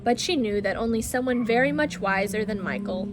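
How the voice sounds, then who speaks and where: A woman reading a children's story aloud in an expressive storytelling style. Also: on the high side, fairly quick, music in the background.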